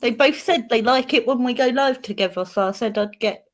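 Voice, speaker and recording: A woman's voice: a little high, fairly quick. An English woman hosting a casual live stream, chatting with viewers by name and giving card readings. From England. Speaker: host and card reader.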